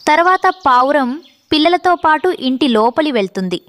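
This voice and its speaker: A woman's voice: high voice, animated, normal speed, a bit bright. A woman narrator reading a scripted moral story for children.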